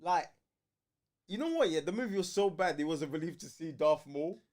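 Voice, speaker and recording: London guy chatting on a fan stream, highish voice goes up and down loads, slangy n emphatic. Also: lively intonation, a little fast, darkish tone. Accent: London, British. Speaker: co-host of a film fan livestream. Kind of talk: casual fan debate chat.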